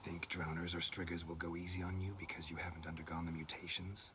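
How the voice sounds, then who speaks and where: An actor playing a terse, dry-witted monster hunter in a video game, with a gravelly near-whisper. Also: male voice, deep voice, fast talker, background noise, close to an everyday voice. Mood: stern, instructing. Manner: terse, deadpan. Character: gruff, dry-witted adult male monster hunter mentor. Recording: loud game music underneath. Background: loud music.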